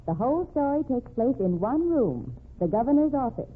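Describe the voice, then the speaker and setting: Lady publisher, old-time radio dialogue, lively pitch swings, thin band-limited sound. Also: normal speed, husky, full character voice. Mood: explaining. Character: woman co-owner of a mystery publishing firm. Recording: narrow, noisy vintage radio recording.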